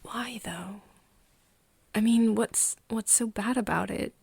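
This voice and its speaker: A woman playing a nervous, tender young friend of a vampire in an ASMR roleplay, speaking softly and hesitantly, often slipping into a whisper. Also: a little high, dry recording, exaggerated voice. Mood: hesitant, anxious. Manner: hesitant, halting, breathy whispering mixed with soft speech. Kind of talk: ASMR roleplay, intimate one-sided dialogue to listener.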